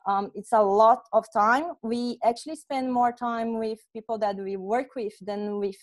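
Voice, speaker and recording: A woman's voice: a little high, fairly even intonation, a little slow. A young Brazilian woman with a Brazilian accent giving an informal online presentation in a conversational, hesitant way over a headset. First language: Portuguese. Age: young adult. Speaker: presenter, software testing specialist. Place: small room at home, indoors. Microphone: earphone headset on video call.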